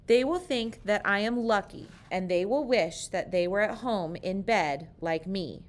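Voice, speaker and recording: A woman's voice: unhurried, lightly acted. A woman reading aloud and voicing an anxious woman who dreads her first day, whining and pleading in a raised voice. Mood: whiny. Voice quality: high, whiny, pleading.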